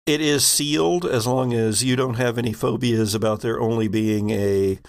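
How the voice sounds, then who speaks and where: A man running a tabletop game as game master, improvising narration with halting, deliberate pauses mid-phrase. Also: medium pitch, steady tone.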